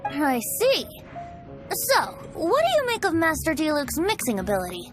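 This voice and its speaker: With music clearly underneath, a youthful bard speaks high and with bouncy intonation. The game character is breezy and playful, with a cheerful theatrical flair. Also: female voice, huge pitch swings, normal speed. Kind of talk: English video game voice acting. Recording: music playing behind the voice.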